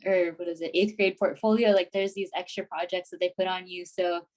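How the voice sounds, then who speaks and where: A woman's voice: fairly low pitch, steady tone, a little fast, a little mellow. A woman in her twenties, a graduate student guest on a podcast, talking casually with many "like"s and "you know"s. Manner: chatty, heavy use of "like" and "you know". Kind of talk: casual interview chat.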